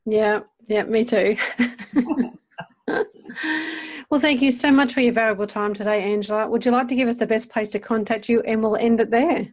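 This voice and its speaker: A woman's voice: fairly high pitch, steady tone, normal speed, long pauses. A woman hosting a casual interview in an encouraging, affirming way.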